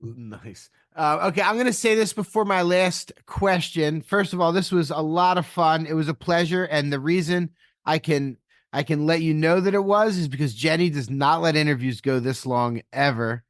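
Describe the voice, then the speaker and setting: A man's voice: fairly high pitch, no room sound, studio quality. Joking man co-hosting a podcast. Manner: joking, quick agreeing backchannel. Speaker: podcast co-host. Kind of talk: casual interview chat.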